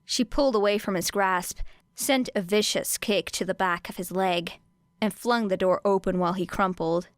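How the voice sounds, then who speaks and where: A woman's voice: mid-range voice, normal speed, no echo, clean studio sound. A woman reading a scripted story as an audiobook narrator.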